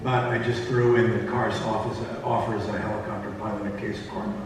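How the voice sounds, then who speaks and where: A man's voice: fairly low pitch, level tone, fairly quick. A man talking casually as a panelist in a discussion about his production work. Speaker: panelist, audio drama director and actor. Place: convention panel room. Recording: echoey hall, distant mic, faint noise.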